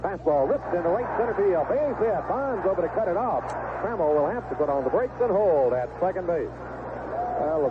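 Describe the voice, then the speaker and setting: Man calling baseball on noisy old radio. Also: fairly high pitch. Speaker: baseball play-by-play announcer. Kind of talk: live radio sports commentary, 1970s. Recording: noisy, narrow-band vintage AM radio broadcast.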